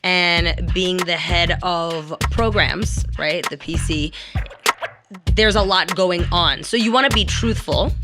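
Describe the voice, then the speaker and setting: A woman's voice: on the low side, takes it slowly. A woman in a candid discussion, explaining things in an emphatic, conversational way. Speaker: actor and radio professional. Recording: music playing under speech. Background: music.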